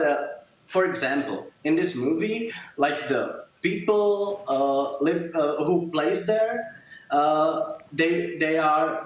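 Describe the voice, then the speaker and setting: A man from Moravia with a Czech accent, giving interview answers in halting, non-native English as he searches for words. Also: a little high. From Moravia, Czech Republic. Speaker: filmmaker being interviewed. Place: remote video call from a living room. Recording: very roomy, echoey video call.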